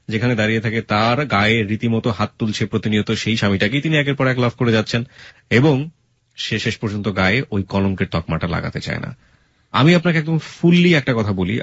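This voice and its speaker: Male radio host, Bangladeshi accent, low husky voice, frank and admonishing, English phrases mixed in. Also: dry recording, muffled recording. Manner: blunt, admonishing, emphatic; mixes English words and phrases into speech. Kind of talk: radio life-story interview, giving frank advice.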